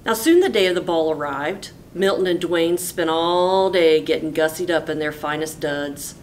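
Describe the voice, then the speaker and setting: A woman teacher reading a picture book aloud in a folksy, animated storytelling way. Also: normal speed. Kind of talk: expressive storybook read-aloud. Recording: noisy with low rumble.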